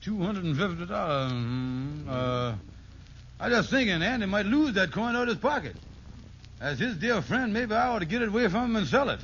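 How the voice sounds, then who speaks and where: Wheedling comic schemer, high and swooping voice, folksy bluster, vintage radio sitcom. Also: male voice, very animated, moderate pace, fairly bright tone, full character voice. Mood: scheming. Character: scheming, henpecked husband, a fast-talking con man. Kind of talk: 1940s radio sitcom acting. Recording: dull, noisy old radio recording.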